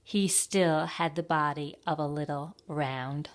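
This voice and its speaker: Slow-talking woman reading a children's storybook. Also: low-pitched. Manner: expressive, pauses to ask the children questions. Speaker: library storytime reader. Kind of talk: reading a picture book aloud to young children.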